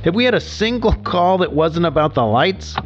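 A sardonic late-night call-in host in a radio drama. His delivery is theatrical and world-weary, with lively rises and drops. The sound is slightly dull, like a limited broadcast. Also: sits high, normal speed, music in the background. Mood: wry.

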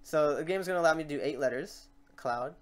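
A man hosting a casual software tutorial, rambling and thinking aloud as he works. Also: on the high side, fast. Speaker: tutorial host. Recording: clearly noisy mic, keyboard clicks. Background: typing and mouse clicks.

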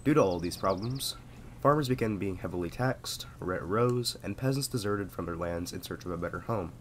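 Sick young dude, real low voice, reading a history script, faint background sound. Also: male voice, lively intonation, moderate pace, slight background noise. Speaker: history video narrator. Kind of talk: scripted narration.

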